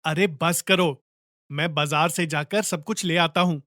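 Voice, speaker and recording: A woman's voice: sits low, fairly even intonation, measured pace, fairly bright tone, no echo, clean studio sound. Woman voicing an earnest, worried family man. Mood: caring. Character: worried, caring father and husband, and his friend. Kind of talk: Hindi horror cartoon story acting.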